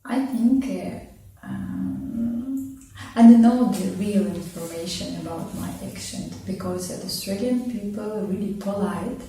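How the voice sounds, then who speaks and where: Woman, halting, searches for words, slow, mellow voice, echoey room, far from mic. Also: medium pitch, soft background music. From Russia. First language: Russian. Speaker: interviewee, immigrant learning English. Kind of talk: casual conversation.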